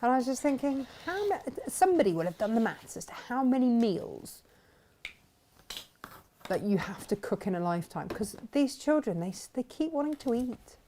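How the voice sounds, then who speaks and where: A woman's voice: on the high side, very animated, moderate pace, dark tone, slight background noise. Chatty woman, laughing often. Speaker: co-host. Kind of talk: casual chat on a crafting show.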